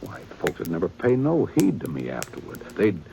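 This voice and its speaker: An actor playing a weary, dutiful aging small-town sheriff with a plain folksy drawl in a 1950s radio drama. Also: male voice, low voice, wildly swooping pitch, fairly quick, gravelly, warm tone, full character voice. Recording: old radio broadcast with faint hiss.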